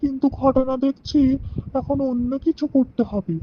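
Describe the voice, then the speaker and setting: A woman's voice: high voice, normal speed, natural voice. Woman doing a scheming villain man, Rahim. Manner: plotting, coaxing, callous. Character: scheming male villain, the father's treacherous friend. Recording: dull distant lo-fi with faint hiss.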